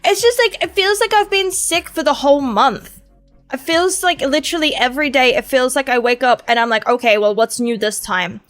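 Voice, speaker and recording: A woman streamer with a sore throat chats casually, rambling and swearing. Her voice sits high. Faint music plays in the background. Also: moderate pace, fairly bright tone. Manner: rambling, swearing, laughing chatter.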